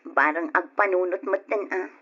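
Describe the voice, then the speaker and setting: A woman's voice: lowish voice, moderate pace, sharp tone, slightly rough, exaggerated voice. A woman playing a burdened but determined, practical wife in an old radio drama, speaking earnestly and resolutely. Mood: troubled. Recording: dull, lo-fi old radio recording.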